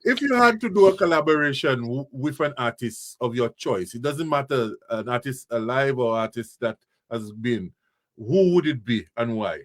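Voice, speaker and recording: A man's voice: mid-range voice, lively intonation, normal speed. A man hosting a casual interview, speaking in an encouraging, affirming way.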